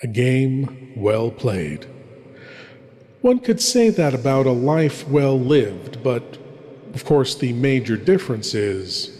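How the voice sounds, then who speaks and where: A man presenting a horror show with measured, philosophical, theatrical commentary. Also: medium pitch, big pitch swings, dark tone, soft background music. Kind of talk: scripted host commentary. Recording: reverberant, faintly noisy.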